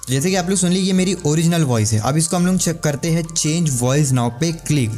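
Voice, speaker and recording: Young guy doing a chatty tutorial, slightly high voice, clip-on mic, bit of music behind. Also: fairly quick, darkish tone. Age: 20s. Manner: informal, chatty, addresses viewers as buddies. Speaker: tech tutorial YouTuber. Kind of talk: casual explainer to camera and screen-recording voice-over. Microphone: clip-on lavalier with windscreen.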